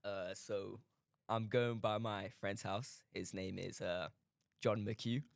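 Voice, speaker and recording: A man from Pakistan tells a strange anecdote as a radio guest, halting and full of fillers. His voice is low and gravelly, and the close studio mic leaves it dry. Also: fairly even intonation, no echo. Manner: hesitant, lots of uh and um, laughs at himself. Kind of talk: telling an anecdote on air.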